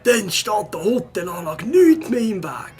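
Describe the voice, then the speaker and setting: A woman's voice: medium pitch, sing-song, a little slow, darkish tone. A woman playing a chatty, know-it-all villager in a children's audio drama, exclaiming incredulously as if talking down to children. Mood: incredulous, informing.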